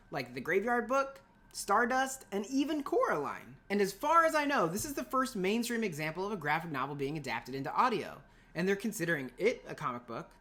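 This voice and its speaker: A woman's voice: on the low side, a bit bright. A woman teaching an informal scripted lesson for middle schoolers, chatty and playful with emphatic asides.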